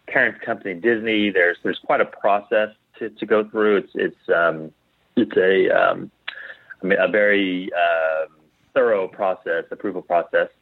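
A man with a fairly low voice talks casually as an interview guest. He pauses to find his phrasing, and the sound is narrow and phone-like, with little room around it. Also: dry recording. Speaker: guest, founder of a geek apparel company. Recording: thin phone-like call audio.

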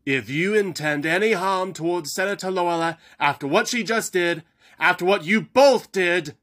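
A man voicing a protective male king, a devoted husband, speaking low in a storybook reading. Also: normal speed, fairly bright tone. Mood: threatening.

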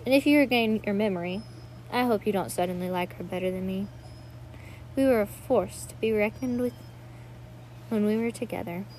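A woman's voice: medium pitch, a little mellow, natural voice. A woman acting an earnest, pleading sister, noisy recording. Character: earnest pleading young woman, devoted sister coaxing memories. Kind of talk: dramatic student monologue reading.

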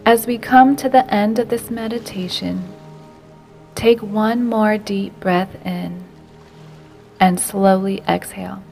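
A woman narrating a guided meditation in a slow, gentle, unhurried way, with softly breathed phrase endings. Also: mid-range voice, fairly even intonation, vocal fry, soft background music.

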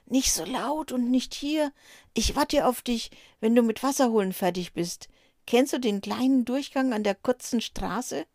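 A woman playing a frightened woman disciple in a storybook tale, speaking in an urgent, whispery hush, pitched well above the narrator's own voice. The sound is dry and close, with a quiet level and a faint airy edge. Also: a little slow, no room sound. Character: hushed, frightened woman disciple sharing secret news. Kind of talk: storybook character voice.

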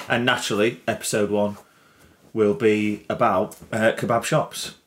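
A man's voice: on the low side, fairly even intonation, a little slow. A young man with a Northern English accent co-hosting a casual podcast, chatty and jokey, often repeating and restarting words. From England. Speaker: podcast co-host. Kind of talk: casual chat.

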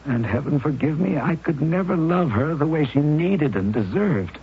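An actor playing a grieving widower in a 1970s radio drama, speaking in an anguished, intense way. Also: male voice, on the high side, lively intonation, normal speed, breathy edge, heavily acted. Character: grieving widower, racked with guilt. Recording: muffled old radio broadcast.

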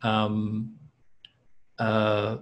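Icelandic guy, english not his first language, flat steady voice, slow careful answers. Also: lowish voice. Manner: measured, searching for words with many ums. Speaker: guest, visual effects artist. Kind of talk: casual interview.

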